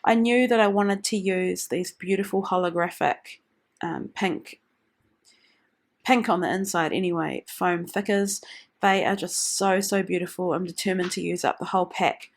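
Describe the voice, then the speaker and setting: A woman with a slightly low voice and a nasal sound from a lingering flu talks through her work in a casual, rambling voice-over. She drifts from one thought to the next, filling the gaps with soft hesitations. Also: fairly even intonation, normal speed. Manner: chatty, meandering, with many ums. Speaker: scrapbooking vlogger. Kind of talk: casual voice-over narration of a craft process.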